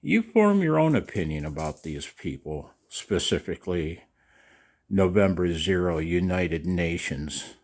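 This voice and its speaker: An older man talking casually and in a rambling way to his viewers as a video host, folksy and halting, with a gravelly voice. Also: low-pitched, sing-song, a little mellow. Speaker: amateur radio operator and video host. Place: outdoors in the cold.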